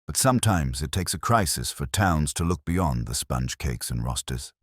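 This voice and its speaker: A man voicing a kindly, thoughtful male police inspector from a storybook, gentle, wry and reassuring. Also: extremely low pitch, animated, normal speed, husky, no room sound, clean studio sound, heavily acted. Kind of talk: storybook character dialogue.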